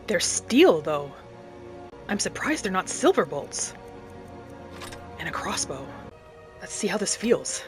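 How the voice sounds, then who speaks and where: A woman's voice: lowish voice, very animated, slow pace, pauses often, music in the background. A woman giving in-character commentary on a roleplay game, musing and asking questions as she goes. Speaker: gamer, host of roleplay playthrough.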